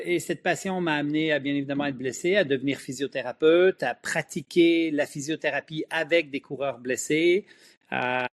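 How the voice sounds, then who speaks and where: A middle-aged man with a Quebec French accent, explaining emphatically as an expert guest in an interview. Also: mid-range voice. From Switzerland, moved to Quebec at ten. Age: middle-aged or older. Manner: emphatic, opinionated.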